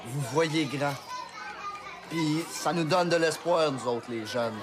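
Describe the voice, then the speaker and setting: A man's voice: fairly high pitch, slow, crowd noise. An actor playing an earnest young male fan, hesitant and sincere, with a Québécois French accent. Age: young adult.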